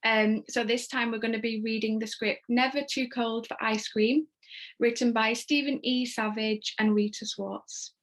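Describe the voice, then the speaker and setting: A woman's voice: a little high, steady tone, moderate pace. A woman giving scripted narration, reading out screenplay stage directions. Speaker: stage-direction reader and host.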